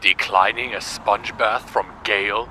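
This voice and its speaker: Actor as a sharp, forceful, crude male boss. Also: lowish voice, fairly even intonation, measured pace, a little hiss or hum, low-quality recording. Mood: crude, smug. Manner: vulgar, brash, blunt. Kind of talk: audio drama acting.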